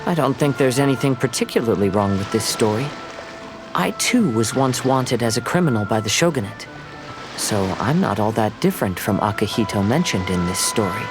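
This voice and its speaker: A man's voice: on the low side, animated, music in the background, natural voice. Serene young wandering samurai played by a man. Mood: thoughtful. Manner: serene, polite, measured. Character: calm, gentle young male wandering samurai. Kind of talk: anime game voice acting.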